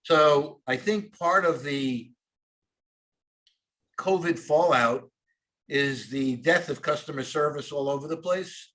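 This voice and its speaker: A man's voice: fairly high pitch, takes it slowly, long pauses, fairly bright tone, rough recording. Rambling New York man telling a story. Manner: rambling storyteller, many fillers. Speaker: co-host. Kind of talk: casual chat.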